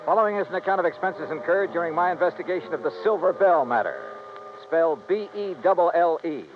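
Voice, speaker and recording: A man's voice: fairly high pitch, lively intonation, quick pace, music in the background, natural voice. A male actor playing a wry, cool-headed insurance investigator with an American accent, casual and skeptical in a 1950s radio detective style. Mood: dry, brisk narrating. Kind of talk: 1950s radio detective acting and first-person narration. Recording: muffled, noisy vintage radio recording.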